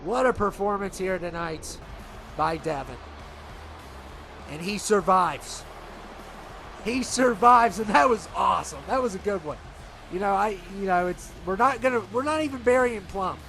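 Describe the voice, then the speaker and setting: A middle-aged man commentates a video game wrestling show with a stuffed-up nose. His voice sits rather high, and he rambles excitably, piling filler on filler. A faint background hum runs under everything. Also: normal speed, long pauses.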